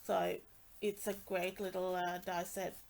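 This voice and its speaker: A woman's voice: on the low side, little pitch movement, unhurried, creaky, darkish tone. A woman with a congested, nasal voice, casually walking through craft products with many fillers and restarts. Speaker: stamping demonstrator.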